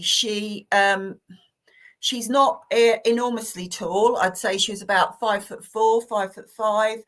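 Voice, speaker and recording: A woman giving live psychic readings to online viewers, talking gently and hesitantly with many fillers and restarts. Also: mid-range voice.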